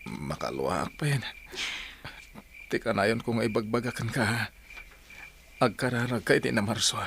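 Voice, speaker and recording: A man's voice: mid-range voice, moderate pace, vocal fry, a bit bright, a little hiss or hum. A man playing a devoted, earnest father in an Ilocano village radio drama. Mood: tender, concerned. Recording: music under the voice.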